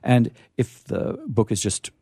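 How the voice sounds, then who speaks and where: A man's voice: mid-range voice, fairly even intonation, measured pace, gravelly, warm tone, slightly rough, dry recording, clean studio sound. A man hosting an informal live talk, hesitant and repeating his phrases, with playfully formal turns. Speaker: library staffer hosting a discussion.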